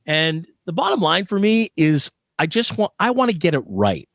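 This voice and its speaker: A man's voice: a little high, huge pitch swings, moderate pace, gravelly, fairly bright tone, no room sound, studio quality. A Canadian man answering as a guest in a conversational interview, earnest and emphatic, repeating phrases for stress. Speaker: sports arena PA announcer being interviewed.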